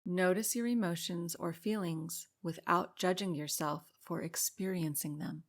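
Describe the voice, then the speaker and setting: Low-pitched woman guiding a calm meditation. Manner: calm, soothing, unhurried instruction with pauses. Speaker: meditation guide and podcast host. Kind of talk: guided meditation narration.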